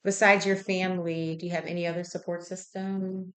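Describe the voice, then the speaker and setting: A woman with a low-pitched voice conducts a health intake interview. She works through her questions in a conversational, methodical way, acknowledging and checking answers as she goes. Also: little pitch movement, brisk.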